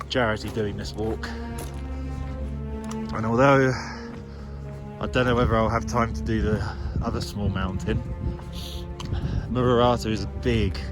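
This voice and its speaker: A man with a nasal voice, chatting in an awed, thinking-aloud way as he vlogs a solo climb outdoors high on a snowy mountain. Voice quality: nasal, from ski goggles. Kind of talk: casual vlog commentary. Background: music.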